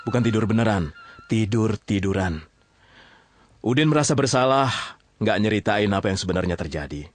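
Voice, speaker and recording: A man's voice: fairly low pitch, animated, normal speed, long pauses, no room sound. A man with an Indonesian accent telling a story informally, often checking in with his listeners. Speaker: storyteller narrator addressing listeners.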